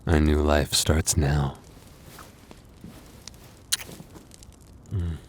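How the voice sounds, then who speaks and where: A man playing a very deep-voiced werewolf boyfriend. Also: little pitch movement, slow, husky, exaggerated voice. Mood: happy, affectionate.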